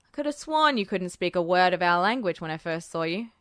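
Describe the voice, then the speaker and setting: Wry, kindly cop for a story reading woman performing as a man: low, gruff, conspiratorial, dry sound. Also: expressive, fairly quick, fairly bright tone, no echo. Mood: hushed, wry. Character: gruff, wry, kindly male police officer and father. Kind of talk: fan fiction audiobook character voice.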